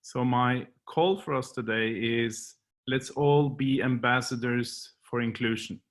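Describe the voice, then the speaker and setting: A man from Norway whose first language is Norwegian, giving a slow, measured and earnest prepared speech as a guest speaker. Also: mid-range voice, fairly even intonation. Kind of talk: prepared speech and poetry reading.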